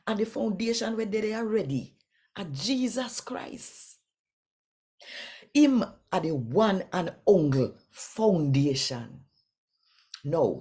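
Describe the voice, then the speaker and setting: A woman with a Jamaican accent reads scripture in a low, mellow voice. She takes her time and lets her pitch swing widely, stressing words with deliberate pauses. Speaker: scripture reader. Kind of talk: expressive Bible reading in Jamaican Creole.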